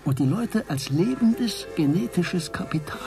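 An actor playing a keen, scheming male investigator who speaks haltingly and musingly in a radio drama. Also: high-pitched, lively intonation, over music. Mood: intent. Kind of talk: German radio drama acting.

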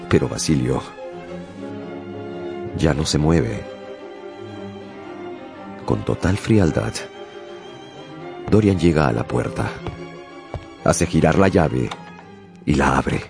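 A man narrating a radio drama in an intense, theatrical way with deliberate pauses. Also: a bass voice, creaky.

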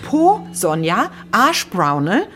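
A woman's voice: medium pitch, huge pitch swings, slow pace, a bit bright, soft background music, exaggerated voice. An actress playing an insistent, teasing woman in a naturalistic German radio drama, slipping into English quotations. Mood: amused, teasing. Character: insistent woman hunting a writer's lost typewriter.

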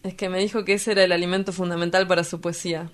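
A woman's voice: fairly low pitch, little pitch movement, quick pace, close to an everyday voice. Argentine woman doing a young guy street poet for radio drama, idle, vulgar, cynical, teasing.

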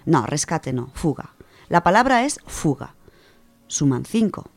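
A woman from co-hosting a radio show, chatting casually and reading a story, animated, with many fillers. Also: low-pitched, a little slow, gravelly, soft background music. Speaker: radio co-host presenting a literature section.

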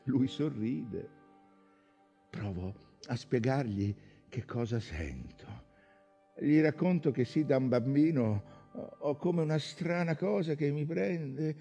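An actor playing an intense, wistful man recalling his youth in a hushed, halting, intimate theatrical monologue. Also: mid-range voice, lively intonation, vocal fry, breathy edge, faint music behind, full character voice. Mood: confiding, hesitant.

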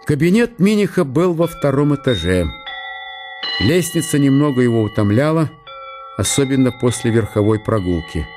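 A man giving a measured, storytelling narration of a literary radio drama. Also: medium pitch, very animated. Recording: music under the narration. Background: music.

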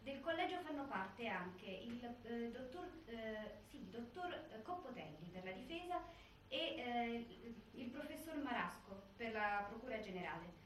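A woman's voice: fairly high pitch, big pitch swings, a little slow. A woman narrating a scripted documentary theatre piece in a very echoey hall. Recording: very echoey hall, distant, faint noise.

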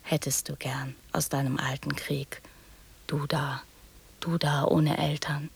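A woman's voice: sits low, level tone, slow pace, gravelly, slightly rough, heavily acted. An actress playing a young girl in a radio drama, prattling and teasing in childlike fragments that often drop to whispers. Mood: hushed, secretive.

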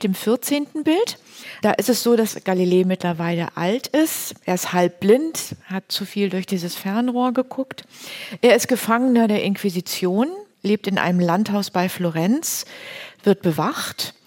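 A woman's voice: medium pitch, moderate pace, a little mellow. A woman presenting in a conversational, explanatory way, setting up a scene in informal language. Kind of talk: conversational spoken introduction to a play excerpt.

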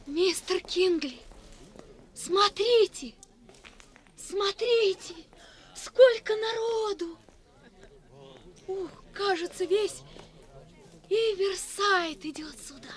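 An actress playing an excited, earnest little girl in a 1960s radio drama. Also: very high voice, drawn-out words, pauses often, heavily acted.

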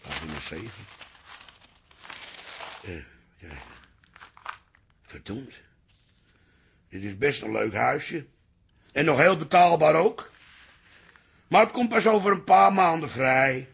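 An older actor playing a grumbling, teasing father in a radio drama, with a gravelly, raspy voice. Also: fairly high pitch, big pitch swings, slow, long pauses, heavily acted. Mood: grumbling, wry. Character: grumbling, teasing live-in father of a café family.

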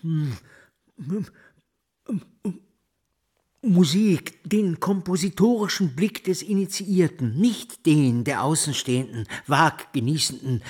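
A man's voice: on the high side, expressive, darkish tone, slightly rough, exaggerated voice. An actor in a radio drama playing an earnest male music mentor with a heavy stutter, speaking formally and emphatically. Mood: earnest, insistent. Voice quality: heavy stutter blocking on M sounds. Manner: emphatic, formal, halting delivery. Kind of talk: German literary radio drama acting.

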